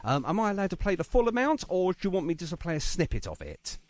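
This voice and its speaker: A man's voice: high voice, sing-song, fast talker, a little mellow, no echo. A man hosting a radio show, chatting casually and agreeably with a guest in a jovial way.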